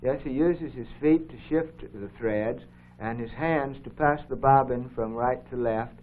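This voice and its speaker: A man giving formal, measured explanatory commentary as co-host of an educational program, on a 1950s broadcast recording. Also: mid-range voice, phone-quality sound. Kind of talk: unscripted explanatory commentary over film footage.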